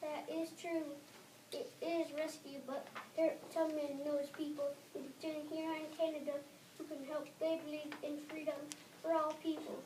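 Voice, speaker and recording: Little girl reading enslaved farm worker dreaming of freedom, high voice, halting, far from mic with noisy background. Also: flat delivery, close to an everyday voice. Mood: hopeful. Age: child, about 8 to 9. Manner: halting read-aloud with repeated words. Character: weary enslaved farmhand longing for freedom. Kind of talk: school readers theater, reading lines aloud. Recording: echoey noisy room from far off.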